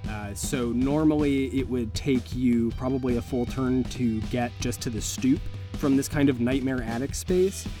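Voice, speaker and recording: Male game master, improvised narration, vivid descriptions, deliberate phrasing, clear music underneath. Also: mid-range voice.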